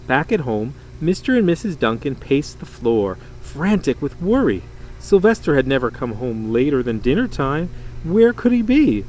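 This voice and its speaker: A man reading a children's story aloud in an expressive, dramatic, emphatic way. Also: a little high, slight background noise, poor audio. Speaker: storybook reader.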